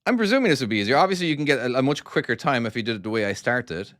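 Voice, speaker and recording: A man's voice: medium pitch, lively intonation, racing, fairly bright tone, no echo. An Irish man who makes trucking games, chatting casually and self-deprecatingly on a live stream while playing and answering chat. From Ireland. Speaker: game developer streaming his own game.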